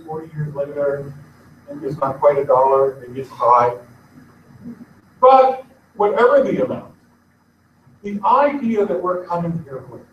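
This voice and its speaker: A man giving an informal address to his congregation, earnest and conversational, pausing now and then to find his place. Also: high voice, slight background noise. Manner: earnest, conversational, pauses to look things up. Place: synagogue sanctuary. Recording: echoey, distant, dull live stream.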